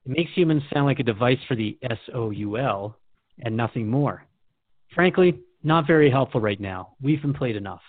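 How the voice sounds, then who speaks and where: A man's voice: medium pitch, expressive, moderate pace, no echo. A man speaking as a radio host in a conversational drama dialogue, reflective and earnest. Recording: dry, narrow phone-like sound.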